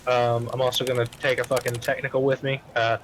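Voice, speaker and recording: A man's voice: medium pitch, level tone, brisk. Profane man in casual game chat, faint noise. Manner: heavily profane, rambling. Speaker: roleplay game player. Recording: faint background noise, keyboard typing.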